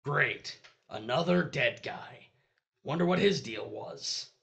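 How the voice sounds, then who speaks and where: An actor playing a dead husband's ghost in an audio horror drama, callous, sarcastic and grumbling. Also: male voice, medium pitch, expressive, takes it slowly, creaky, highs cut off, background noise. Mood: sarcastic, dismissive.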